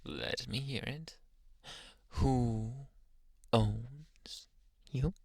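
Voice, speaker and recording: A man playing a jealous, dominant anime boyfriend in an ASMR roleplay, teasing and menacing, with a deliberate delivery that dips into whispers. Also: mid-range voice, big pitch swings, vocal fry, darkish tone, no room sound, clean studio sound, full character voice. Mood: gloating, possessive.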